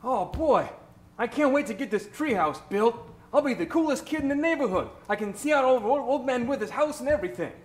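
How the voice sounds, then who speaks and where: Wide-eyed little boy, gee-whiz exclamations, very high pitch, echoey room, spoof radio advert. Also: expressive, brisk. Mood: eager. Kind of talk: parody 1940s radio advert acting.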